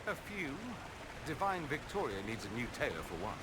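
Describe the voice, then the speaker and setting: An actor voices a sardonic aristocratic mage from a fantasy game. He is arch and theatrical in a medium-pitched voice, full of dry irony. There is heavy noise in the background. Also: sing-song, normal speed, lightly acted. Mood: arch, amused. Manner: arch, theatrical, dryly ironic. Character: suave, witty, sardonic aristocratic male mage. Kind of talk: video game character dialogue. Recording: loud background noise and ambience.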